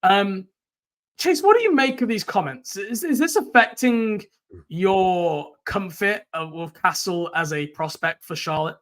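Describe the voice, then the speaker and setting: Croaky english guy hosting a sports pod, higher voice, chatty. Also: animated, a little slow, a bit bright. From England. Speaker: podcast host. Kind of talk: casual sports podcast chat.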